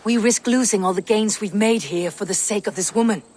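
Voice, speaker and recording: A woman's voice: medium pitch, fairly quick, darkish tone, natural voice. An actress playing a level-headed, sceptical Viking warrior woman in a video game, questioning and blunt. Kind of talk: video game cutscene acting. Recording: long hall reverb, music behind.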